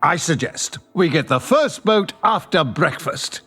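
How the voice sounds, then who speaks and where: An actor playing an eager, besotted bridegroom in an audio drama, theatrical, wordy and playfully pedantic. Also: male voice, fairly high pitch, expressive, measured pace, sharp tone, heavily acted.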